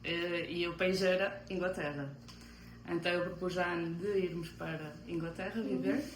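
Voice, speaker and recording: Low-voiced Portuguese woman in a roomy space. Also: fairly even intonation, moderate pace, slight background noise. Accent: European Portuguese. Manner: hesitant, with frequent fillers. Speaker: interviewee. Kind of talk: casual interview answers.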